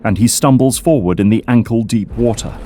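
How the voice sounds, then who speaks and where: A man's voice: mid-range voice, fairly quick, over music. A man reading scripted, dramatic third-person narration for an audio drama as its narrator.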